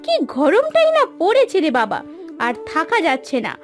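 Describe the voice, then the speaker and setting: A woman's voice: very high voice, huge pitch swings, heavily acted. A woman playing a weary, plaintive village housemaid and wife in a cartoon moral story. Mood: weary, complaining. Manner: plaintive, complaining, drawn-out lamenting. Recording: dry studio voice over background music.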